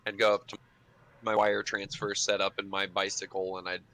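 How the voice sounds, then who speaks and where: Low, bright-voiced man improvising. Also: little pitch movement, normal speed. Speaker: tabletop role-playing game player. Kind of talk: improvised roleplay, describing his character's actions.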